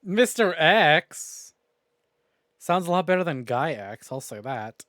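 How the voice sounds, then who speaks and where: A man's voice: a little high, sing-song, takes it slowly, a bit bright, dry recording, studio quality. A man streaming a visual novel live, commenting on the game as it plays.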